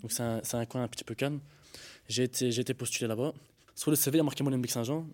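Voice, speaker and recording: A man's voice: mid-range voice, steady tone, racing, darkish tone. A young man giving a hesitant personal account in a panel discussion, often stumbling and restarting his sentences. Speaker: panel guest.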